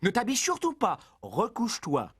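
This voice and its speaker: An actor playing a scheming, fed-up farmer husband in an animated folk tale, with exaggerated theatrical delivery. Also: male voice, high voice, normal speed, background noise. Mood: sly, insistent. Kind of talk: animated cartoon dialogue.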